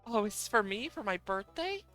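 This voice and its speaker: A man voicing a sweet, shy, grateful young woman from a video game, speaking gently and tenderly. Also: high voice, very animated, normal speed, faint music behind, pitched above the performer's own voice, full character voice. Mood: touched, grateful. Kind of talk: reading video game dialogue.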